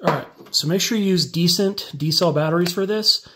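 A man walking through a hands-on build step by step in a relaxed, casual tutorial, filmed on a phone over a workbench indoors. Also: sits high, normal speed, noisy background. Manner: relaxed step-by-step explaining while working. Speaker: DIY tutorial maker.

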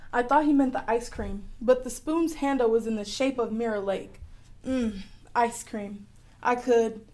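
A woman playing an adventurous, clever girl heroine who tells her own story in a radio drama, earnest and expressive. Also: a little high, a little slow, close to an everyday voice. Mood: excited, wondering. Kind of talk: first-person radio drama narration.

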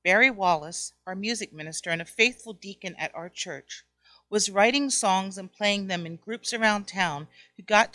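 A woman's voice: fairly low pitch, gravelly, bright, forceful tone, dry recording. A middle-aged woman reading a scripted account aloud into a close microphone. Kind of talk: scripted narration. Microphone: close microphone on a boom stand.

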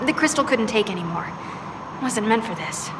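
An actress playing an excitable female dwarf arcanist who talks in chatty, rambling bursts. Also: a little high, moderate pace, noisy background, natural voice.

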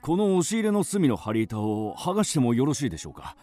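An actor playing a courteous, guarded police detective in a radio drama, speaking formally and politely. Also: male voice, medium pitch, very animated, quick pace, mellow, faint music behind.

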